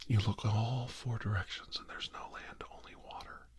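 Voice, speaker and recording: A man giving a guided ASMR healing session in a breathy, mostly unvoiced whisper, soothing and hushed, in short phrases with long pauses. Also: fairly low pitch, fairly even intonation. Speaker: ASMR reiki healer.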